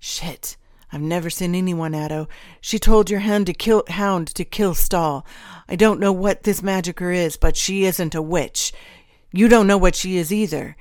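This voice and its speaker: A woman's voice: low voice, normal speed, a little mellow, dry recording. A woman voicing a fearful, argumentative male subordinate and father in an audiobook, blunt and insistent. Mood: alarmed, arguing.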